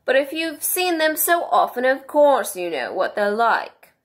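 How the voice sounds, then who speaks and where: A woman's voice: high-pitched, big pitch swings, measured pace, voice pushed up. A woman voicing a pompous, easily offended male Mock Turtle from a storybook, drawing out the words.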